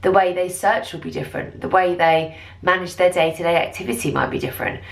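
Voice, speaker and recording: A woman with a low voice and very strong creak runs through an informal business presentation. She explains things like a consultant who is keen on the material, in an enthusiastic and conversational way. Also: brisk. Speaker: recruitment software consultant presenting.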